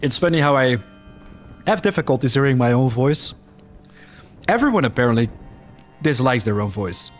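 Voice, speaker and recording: A man's voice: mid-range voice, sing-song, moderate pace, long pauses, soft background music. A man from the Netherlands with a Dutch-Flemish accent, chatting casually as he streams a game live, reacting to the action and reading viewer comments aloud.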